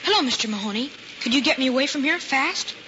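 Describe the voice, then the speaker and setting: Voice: high voice, expressive, fast talker. A performer playing a solemn, polite, precocious young boy with formal, precise speech in an old radio comedy. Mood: polite, earnest. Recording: muffled, noisy old radio recording.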